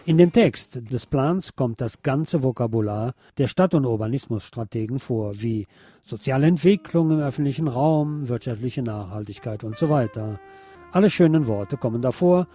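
Male news presenter, soft mellow tone, wry deliberate commentary read from script, faint guitar music underneath. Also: medium pitch, animated, slightly rough.

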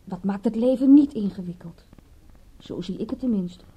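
An actress playing a flippant, defensive young woman in a radio drama, with a soft, husky voice. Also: mid-range voice, normal speed, rough recording.

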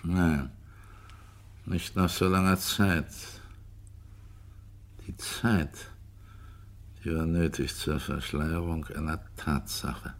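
Low-voiced, stubborn private investigator. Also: male voice, steady tone, slow, pauses often, exaggerated voice. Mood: guarded, thoughtful. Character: probing, stubborn, self-assured male private investigator. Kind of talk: German radio crime drama acting.

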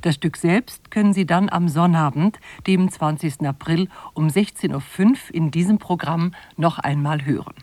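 Woman radio announcer, lowish voice, reading script, dry studio sound. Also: normal speed, hardly any pauses, mellow, no echo.

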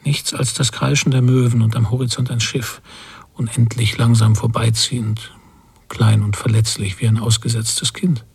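Husky, melancholy emigrant father. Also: mid-range voice, level tone, darkish tone. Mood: melancholy, forlorn. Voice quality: husky, gravelly. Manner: slow-building, brooding reflection in verbless fragments. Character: melancholy post-war emigrant father on a ship's deck. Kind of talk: literary radio drama monologue.

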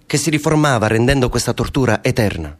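Terse reluctant condemned man, southern italian accent, very lively up and down pitch, radio play. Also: fast talker. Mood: solemn. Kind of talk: radio drama dialogue.

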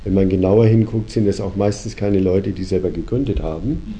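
A man hosting a conversation, a company founder who lectures reflectively and argues his points with many pauses and fillers. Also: sits low, mellow, slight background noise. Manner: reflective, argumentative, hesitant with fillers.

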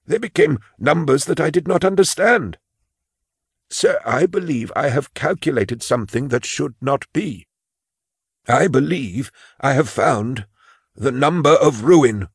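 A man's voice: medium pitch, animated, unhurried, no echo, clean studio sound. An actor playing a frail, elderly male clerk who is anxious and quavering, in an audio drama. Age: older. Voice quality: gravelly, husky.

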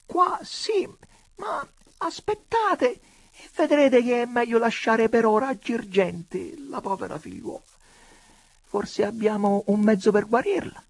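A reader voicing an unctuous, soft-hearted elderly bishop, hesitant and sentimental, in a dramatic audiobook. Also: medium pitch, animated, measured pace, vocal fry, no echo, voice pushed up. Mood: tender pleading. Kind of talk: dramatic audiobook character reading.

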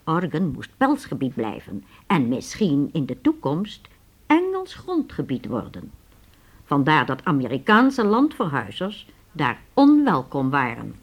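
A woman narrating a radio drama from a script in a clearly phrased storytelling manner. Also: low-pitched, wildly swooping pitch, measured pace.

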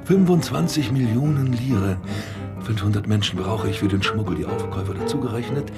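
An actor in a German radio drama playing a megalomaniac, domineering doctor of crime who gives imperious orders. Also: male voice, on the low side, brisk, natural voice. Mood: grandiose, scheming. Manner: imperious, volatile, barking orders. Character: megalomaniac criminal mastermind doctor, cruel, domineering drunkard. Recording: music playing behind the voice.

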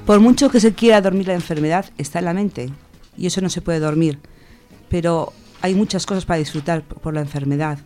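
Guy on radio talking off the cuff, kinda high voice, stop-start, faint music behind. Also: darkish tone. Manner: halting, searching for words. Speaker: radio show participant. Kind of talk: unscripted personal talk on radio.

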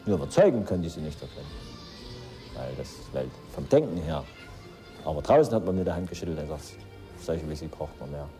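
A man with a low, warm-toned voice tells a casual anecdote. He is colloquial and self-assured, and music plays faintly behind him.

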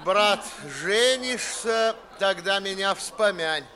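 A man's voice: high voice, slow pace, sharp tone, exaggerated voice. A male actor playing a henpecked retired officer, a listless, resigned husband who sighs through verse in a 1950s radio play. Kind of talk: 1950s Russian stage verse acting for radio.

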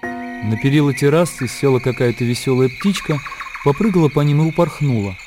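A man's voice: medium pitch, expressive, brisk, music in the background. A man narrating a radio play in a scripted literary style.

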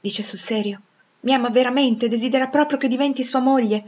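Lady reader doing a young heroine in an audiobook, earnest, cant quite believe it, husky, muffled recording. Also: medium pitch, fairly quick, voice pushed up, natural voice. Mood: earnest disbelief. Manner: questioning, earnest. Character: earnest, incredulous, devoted poor young woman. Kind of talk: dramatic audiobook character dialogue.

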